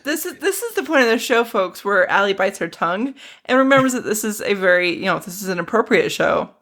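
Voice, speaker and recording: A woman's voice: medium pitch, lively intonation, a little fast, fairly bright tone. Teasing woman podcaster. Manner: teasing, laughs often. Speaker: podcaster and recurring guest. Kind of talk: casual podcast chat.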